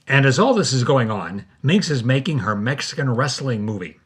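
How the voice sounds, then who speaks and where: Man, mid-pitched, husky, conversational, scripted podcast storytelling host. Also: expressive, normal speed.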